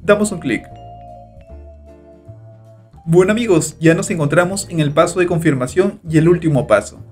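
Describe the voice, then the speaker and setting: A man's voice: fairly high pitch, normal speed, soft background music. Upbeat man guiding a tutorial. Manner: steady instructional narration, repeats click steps. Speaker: tutorial host. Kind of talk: screen-recorded step-by-step tutorial. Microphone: voice-over over screen recording.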